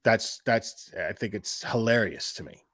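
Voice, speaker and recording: A man's voice: on the low side, unhurried, fairly bright tone, no room sound, clean studio sound. A man giving casual, sarcastic reaction commentary, mocking and swearing, hammering points home through emphatic repetition. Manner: profane. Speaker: host of a commentary stream.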